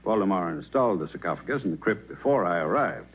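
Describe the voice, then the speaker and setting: An actor playing a hesitant, earnest male professor with a gravelly, husky voice, in 1940s radio style. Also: low-pitched, lively intonation, fast. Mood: earnest recollection. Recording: muffled old radio recording.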